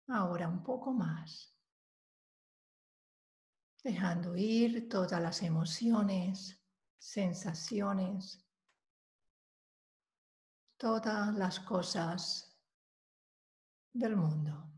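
A woman leading a guided meditation, giving calm, measured instructions with long pauses between phrases. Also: lowish voice, gravelly. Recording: roomy, echoing voice recording.

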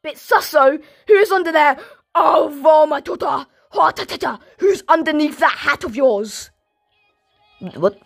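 A young woman with a very high, wildly swooping voice, shouting and repeating herself as she comments on her game. Her tone is gravelly, her throat is sore, and she coughs. Light game music plays behind her, and the sound comes from a phone microphone. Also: unhurried. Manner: excitable, shouting and repeating phrases. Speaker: gaming channel host. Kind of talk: live gameplay commentary.

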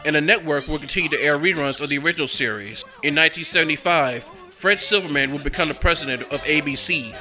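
A man's voice: a little high, fast talker, sharp tone, faint music behind. A man narrating a cartoon history documentary in a scripted, enthusiastic fan's manner. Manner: enthusiastic, fan-like.

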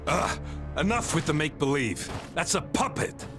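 A man playing a sneering, impatient criminal thug in a game, gravelly, mocking and swaggering. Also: on the high side, expressive, moderate pace, over music, lightly acted. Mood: scornful.

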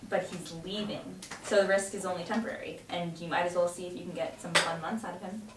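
A wistful teenage girl, read by a young woman in naturalistic drama dialogue. Her voice sits a little low and comes from across a roomy space under loud noise. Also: steady tone, quick pace, lightly acted. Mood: playful. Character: Maya, wistful teenage girl before prom. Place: home dining room, around a table. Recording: roomy, distant camera mic, loud noise.

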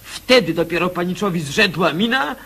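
An actor playing a gallant, self-assured young suitor in a radio comedy, with a husky voice. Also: male voice, sits high, expressive, moderate pace, pushed and bright, heavily acted. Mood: self-satisfied.

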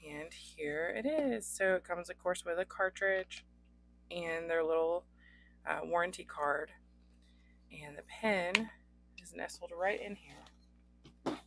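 A young adult woman with a hoarse voice, hosting a casual pen unboxing with frequent ums. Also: on the low side, slow, pauses often, a bit bright, slight background noise. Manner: chatty, frequent ums, occasional coughs. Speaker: pen reviewer. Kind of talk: unboxing chat. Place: indoors at a desk. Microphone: overhead camera on desk, hands only.

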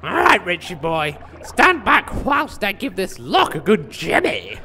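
A man's voice: high voice, huge pitch swings, a little slow, full character voice. A man voicing a crude, greedy, scheming little gnome who mocks and swears, in a comedic fantasy audio drama. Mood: impatient. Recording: echoey reverberant room with some noise.

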